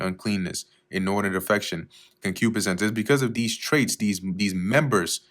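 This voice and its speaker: A man teaching verse by verse through scripture, reading each verse slowly and then explaining it in a casual way with fillers, often clearing his throat. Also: fairly low pitch, fairly bright tone. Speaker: Bible teacher.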